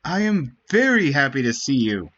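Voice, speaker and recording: A man's voice: medium pitch, very animated, moderate pace, fairly bright tone. A man voicing an earnest, pleading young male forest dweller from a fantasy game, on dull stream audio. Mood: earnest, conflicted. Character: Guaraha, earnest young male Wingly, emotional. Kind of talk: dramatic read-aloud of game dialogue.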